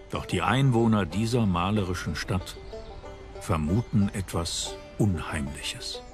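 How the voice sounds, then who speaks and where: A man reading scripted documentary narration in a measured, dramatic storytelling manner. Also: fairly low pitch. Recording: music bed behind voice. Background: background music.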